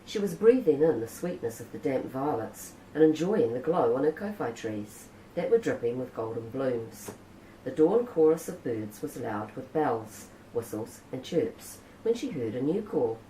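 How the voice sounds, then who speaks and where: A New woman reads a children's picture book aloud with a low, warm, mellow voice and a gently rising and falling storyteller's lilt. The recording is a little roomy, with a faint hiss underneath. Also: sing-song, moderate pace. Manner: gentle storytelling, Maori words spoken naturally.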